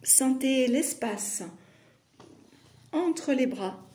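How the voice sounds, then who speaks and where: A woman teaching yoga nidra, guiding a meditation in short, soothing phrases with long pauses. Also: high-pitched, expressive, dark tone. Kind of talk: guided meditation.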